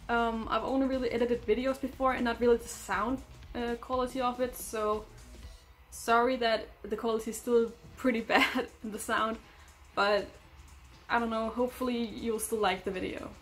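A woman's voice: fairly high pitch, fairly even intonation, moderate pace, a bit bright, faint music behind. Danish-accented young woman, chatty and giggly. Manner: chatty, hesitant, giggly, lots of fillers. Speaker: vlogger. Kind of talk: casual vlog talk to camera. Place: small bedroom indoors.

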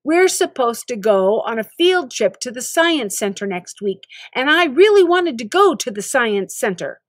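Woman voicing an exasperated American schoolboy, high and emphatic. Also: sing-song, unhurried, no room sound, studio quality. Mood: angry, exasperated. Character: frustrated boy narrator, schoolkid trapped in a video game.

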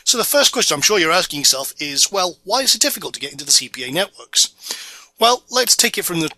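A middle-aged Scottish man talks through a marketing course with a very bright, sharp-edged tone. His pitch sits a little high, and he sounds loose and salesy, full of "uh" and "you know". The recording is dry and close, with no room sound. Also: big pitch swings, quick pace, no echo. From Orkney Islands, Scotland. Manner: casual, filler-heavy sales pitch. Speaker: online course presenter. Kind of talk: narrated slideshow course video.

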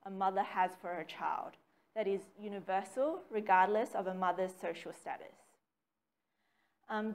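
Woman reading a talk from notes. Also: medium pitch. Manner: measured, reads from notes with occasional ums. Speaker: speaker giving a dharma talk. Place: small meeting room with a slide screen. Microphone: far camera, no mic seen.

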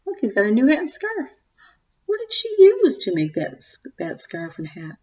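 A woman in her forties reading a picture book aloud to young children, expressively, pausing to ask them questions and comment. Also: sits high, huge pitch swings, mellow, breathy edge, muffled recording. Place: small home room, indoors. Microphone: webcam or laptop mic at desk distance.

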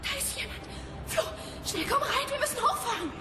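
High-pitched, anxious young woman. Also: lively intonation, normal speed, loud music behind the voice. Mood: nervous, frightened. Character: anxious, queasy young woman, reluctant amateur sleuth. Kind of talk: German radio drama acting.

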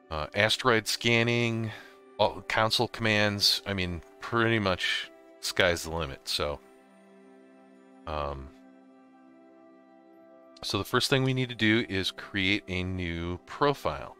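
A man with a low, forceful voice hosts an informal how-to for gamers. He talks through each step in a casual way, with a little music behind him. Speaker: host of a gaming tutorial series. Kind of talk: casual software tutorial narration over slides and screen capture.

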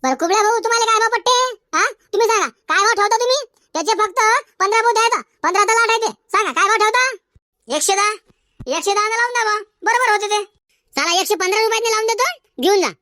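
Squeaky cartoon bazaar haggler, folksy and insistent, very high and bright, dry close sound. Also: quick pace, dry recording. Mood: insistent haggling. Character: haggling, bantering cartoon chilli-market traders. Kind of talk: comedic cartoon dialogue in colloquial rural Marathi.

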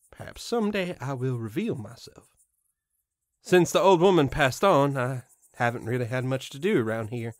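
A man's voice: medium pitch, dry recording, clean studio sound. A man playing a proud, mischievous living scarecrow who guards a farm, musing in a theatrical, pompous way in an audio drama monologue. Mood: wistful, proud. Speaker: voice actor.

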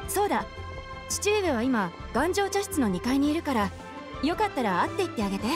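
An actress playing a polite, caring young woman in a video game, with a gentle and earnest manner. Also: high voice, big pitch swings, fairly quick, over music. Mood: hopeful, polite. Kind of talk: Japanese video game voice acting.

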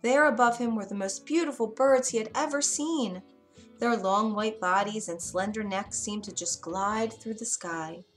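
A woman reading a scripted children's fairy tale in an expressive, deliberate storytelling manner. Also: mid-range voice. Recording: music behind the narration. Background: music.

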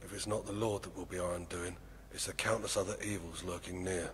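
A man voicing a grim, weary townsman, deep. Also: fairly even intonation, slight background noise. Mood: foreboding. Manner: dark, measured, foreboding delivery. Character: grim weary townsman warning of his failing city. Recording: game audio with long reverb and faint ambience.

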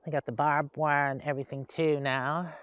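A man's voice: on the high side, little pitch movement, normal speed, no echo, dull audio. A man hosting a casual travel vlog, chatting and thinking aloud as he drives, recorded inside a vehicle with road noise. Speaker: host.

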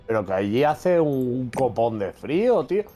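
A man's voice: mid-range voice, very animated, normal speed, warm tone, soft background music. A laid-back man chatting with friends while gaming, swearing casually and repeating his words. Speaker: friend on voice chat.

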